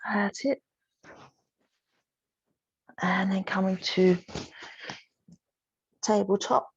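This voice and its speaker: A woman yoga instructor leading a guided relaxation practice in a soothing, unhurried manner, with gentle pauses between short cues. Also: mid-range voice, fairly even intonation, vocal fry, husky, muffled recording.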